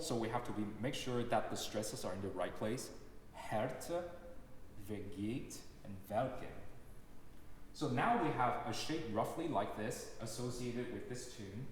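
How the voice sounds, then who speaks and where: Young male lecturer, native Cantonese speaker, careful explanatory delivery, very reverberant recital hall with a faint hiss. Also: fairly low pitch. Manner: explanatory, measured, demonstrating examples aloud. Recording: echoey hall with long reverb, faint noise.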